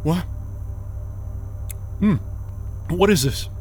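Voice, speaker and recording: A groggy, confused man in an audio drama. He speaks slowly, with halting stammers and big swings of pitch, as someone disoriented and unsure where he is. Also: expressive, a little mellow, soft background music, heavily acted. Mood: groggy confusion. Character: bewildered, groggy male job candidate waking from amnesia.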